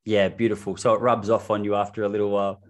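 A man's voice: fairly low pitch, flat delivery, quick pace. Enthusiastic male podcast host. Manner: enthusiastic, chatty, many fillers. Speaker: podcast host and running coach. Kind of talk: casual podcast interview.

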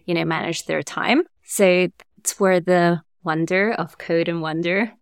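Smooth-voiced woman guest, chatty. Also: lowish voice, unhurried, no room sound, studio quality. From Germany. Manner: relaxed, laughs often, uses fillers like "like". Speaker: agency cofounder, guest. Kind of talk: casual interview chat.

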